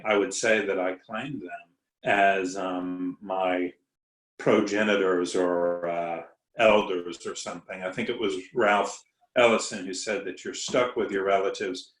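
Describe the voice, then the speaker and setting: Low-voiced male writer, reflective and measured, recorded in a roomy echo. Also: fairly bright tone. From Southern US. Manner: thoughtful, hesitant, with pauses. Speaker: writer, academic. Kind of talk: casual conversation.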